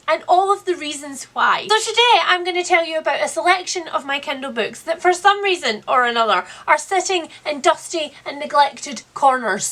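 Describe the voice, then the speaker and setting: A woman with a high-pitched, bright, forward voice hosts a chatty book vlog. She talks straight to her viewers, with wry sarcasm and playful exaggeration running through her delivery. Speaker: book reviewer, channel host. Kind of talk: chatty direct-to-camera vlog.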